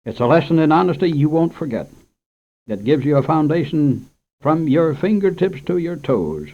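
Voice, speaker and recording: A man's voice: fairly high pitch, very animated, normal speed, pitched above the performer's own voice. A man reading aloud and voicing a stern, bearded older male farmer who speaks bluntly and moralizes, in a muffled old radio recording. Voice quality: folksy rural speech.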